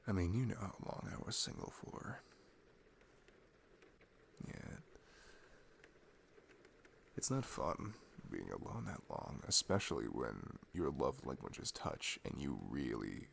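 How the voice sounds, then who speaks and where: A man playing an affectionate, playful boyfriend in an ASMR roleplay, talking softly and intimately. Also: low-pitched, vocal fry, a little hiss or hum, exaggerated voice. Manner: soft, unhurried, intimate, chuckling. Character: affectionate, playful, sleepy-morning boyfriend, cuddly and sweet.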